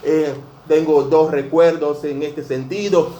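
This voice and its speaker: A Venezuelan man in his fifties preaches with heartfelt earnestness, his voice sitting a bit high for a man. He keeps calling his listeners dear brothers. The sound rings through an echoey church room. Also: fairly even intonation, slow pace, warm tone, muffled recording, a little hiss or hum. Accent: Venezuelan Spanish. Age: 50s. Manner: earnest, fills every phrase with "dear brothers". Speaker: pastor. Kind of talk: sermon. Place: church sanctuary.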